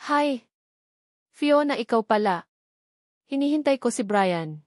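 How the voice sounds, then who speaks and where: A woman's voice: a little high, expressive, slow, a little mellow, dry recording, clean studio sound, voice pushed up. A woman voicing a shy, apologetic young woman in love, with a Filipino accent, in an audiobook. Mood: sad, anxious.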